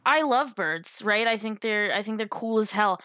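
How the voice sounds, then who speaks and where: A woman's voice: medium pitch, normal speed, pushed and bright, clear, resonant tone, dry recording. A woman chatting casually about tabletop gaming in an enthusiastic, emphatic, colloquial way.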